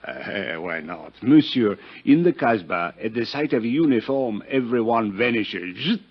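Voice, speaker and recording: An actor playing an urbane, wry French police inspector with a husky voice and elaborate politeness, in a 1950s radio drama. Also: male voice, lowish voice, expressive, measured pace, exaggerated voice. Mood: matter-of-fact. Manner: suave, droll, elaborately polite. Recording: dull, lo-fi 1950s radio recording.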